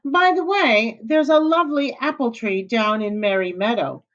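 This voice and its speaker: A woman's voice: normal speed, close to an everyday voice. A woman voicing a scheming male wolf who talks with false politeness, in a slightly dull recording. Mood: falsely friendly. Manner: overly polite, high and theatrical. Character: sly smooth-talking male wolf, scheming.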